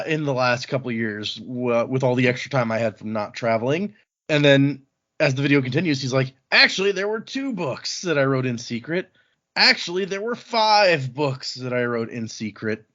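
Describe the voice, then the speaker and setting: A man's voice: medium pitch, animated, normal speed, a bit bright, dull audio. A man chatting casually as a podcast host.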